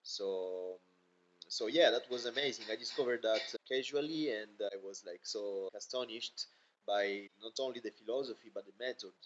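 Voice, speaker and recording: Man, low voice, dull lo-fi podcast sound; a guest who searches for words, pauses often and restarts his sentences. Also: slight background noise. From Italy. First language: Italian. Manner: halting, many fillers and restarts. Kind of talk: casual interview chat.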